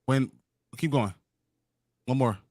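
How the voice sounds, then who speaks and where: Man, co-host, casual chat, short agreeing remarks, laughs, mid pitch. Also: slow, a little mellow, no room sound, clean studio sound. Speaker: podcast co-host.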